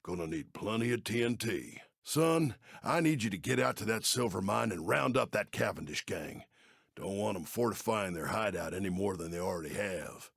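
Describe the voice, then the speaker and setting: A man's voice: on the low side, animated, moderate pace, gravelly, fairly bright tone, breathy edge, dry recording, clean studio sound, full character voice. A man playing a folksy, aging, kindly but worried Old West sheriff in a video game, with an American Western accent and neighborly, drawling speech. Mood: determined. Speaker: mission-giving game character. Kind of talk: video game character acting.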